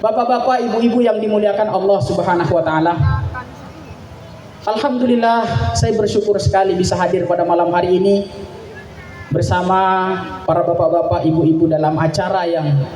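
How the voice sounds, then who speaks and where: A man's voice: sits high, normal speed, long pauses, background noise. A young man from Madura, preaching a sermon to a gathering and reciting Arabic in a rising, declamatory way. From Madura, Indonesia. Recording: echoey hall, distant mic, faint ambience.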